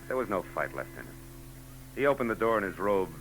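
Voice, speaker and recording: An actor with an American accent playing a world-weary, wisecracking private eye, narrating wryly in a 1940s radio noir drama. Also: male voice, on the low side, very animated, quick pace, fairly bright tone, slight background noise, rough recording. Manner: hard-boiled, wry. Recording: vintage 1940s radio recording.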